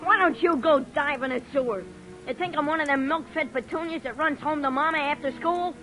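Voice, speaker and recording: A cocky street-gang kid. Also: male voice, very high voice, a little fast, pushed and bright, faint music behind. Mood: defiant, cocky. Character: tough street-gang boy, defiant, cop-hating. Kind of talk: 1940s radio acting.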